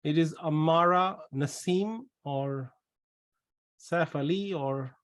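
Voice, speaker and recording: A man's voice: a little high, slow pace. A middle-aged man from Pakistan with a Pakistani accent, hosting an online question session and advising participants in a blunt, probing way. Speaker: webinar host and pharmacist adviser. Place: small room indoors, on a video call. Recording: noisy video call, clicks.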